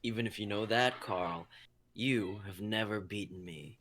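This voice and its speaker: A man's voice: lowish voice, unhurried, fairly bright tone, slight background noise. A man playing a cold, menacing executioner villain in an amateur script reading, taunting and condescending.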